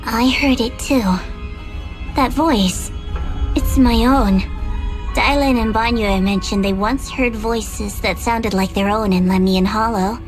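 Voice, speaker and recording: Game's tough woman fighter, higher register, dry, cool and unshakable, music behind. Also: fairly even intonation, takes it slowly. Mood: wary. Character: cool, defiant, world-weary woman fighter. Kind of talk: video game voice acting.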